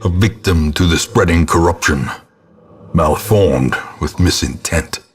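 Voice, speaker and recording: An actor voicing a grim, ominous old ghostly ancestor as a gothic horror game narrator, solemn and theatrical, with a gravelly, husky voice. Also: male voice, deep voice. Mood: grim foreboding. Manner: solemn, portentous, deliberate delivery.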